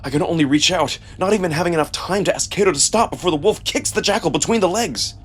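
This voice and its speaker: A man reading a first-person story as dramatic, expressive scripted narration with a sense of suspense. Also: fairly high pitch, fast, breathy edge. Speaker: narrator and performer.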